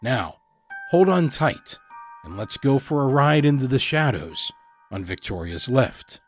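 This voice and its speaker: A man with a medium-pitched voice reads a scripted podcast introduction as the host. Music plays faintly beneath him. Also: big pitch swings, measured pace.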